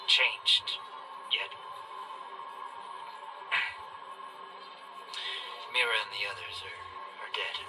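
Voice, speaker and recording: An actor playing a despairing, wounded space station survivor in a game audio log, halting and in pain. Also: male voice, on the low side, far from the mic, poor audio. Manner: halting, broken, sighing delivery.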